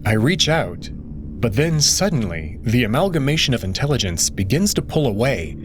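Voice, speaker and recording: A man reading dramatic first-person fiction in a dreamy, drawn-out way. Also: medium pitch, big pitch swings, noisy background. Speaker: reader voicing a first-person protagonist. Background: music.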